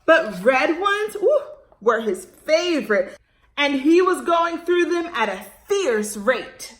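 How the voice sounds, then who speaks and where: A woman hosting a story time for young children, reading aloud with dramatic emphasis, drawn-out words and questions to her listeners. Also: sits high, very animated, roomy sound.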